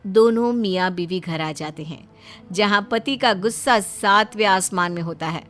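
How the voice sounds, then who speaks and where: A woman's voice: mid-range voice, unhurried, a bit bright, faint music behind. A woman narrating a scripted domestic story as a storyteller.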